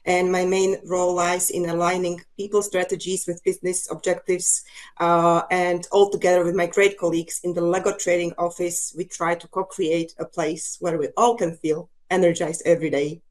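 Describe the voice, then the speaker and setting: Woman, Slovak accent, lowish pitch, flat intonation, formal prepared introduction. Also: moderate pace. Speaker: guest, HR lead.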